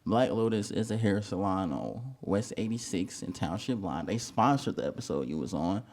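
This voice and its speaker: A man's voice: on the low side, animated, moderate pace, darkish tone. A man hosting a casual dating-show follow-up interview, asking questions in a laid-back, slangy way. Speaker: host.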